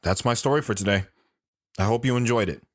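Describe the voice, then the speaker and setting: A man's voice: mid-range voice, fast talker, no room sound, clean studio sound, close to an everyday voice. An actor playing a reflective grown man recounting his boyhood in a first-person audio drama. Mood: nostalgic.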